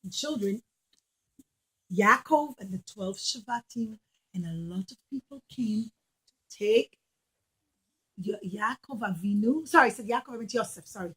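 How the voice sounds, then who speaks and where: A woman's voice: medium pitch, animated, a little slow, pauses often, fairly bright tone, husky, rough recording. A woman telling Bible stories to young children as a teacher, in simple, emphatic, repetitive phrases with questions to the listeners. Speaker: children's religious teacher and storyteller.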